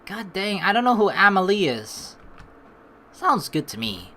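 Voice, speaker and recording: A woman's voice: medium pitch, measured pace, a little hiss or hum. A woman hosting a let's play, rambling informally and reading the game's text aloud in a casual way. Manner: rambling, animated, informal with mild swearing. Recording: faint game music behind the voice. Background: game music.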